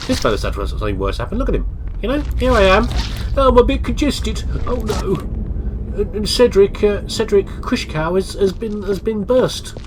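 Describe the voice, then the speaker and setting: A man's voice: sits high, big pitch swings, moderate pace, slight background noise, poor audio. Congested man rambling over gameplay. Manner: chatty, meandering, self-deprecating. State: congested, bunged-up nose. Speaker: let's play commentator. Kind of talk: rambling casual gameplay commentary. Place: home office.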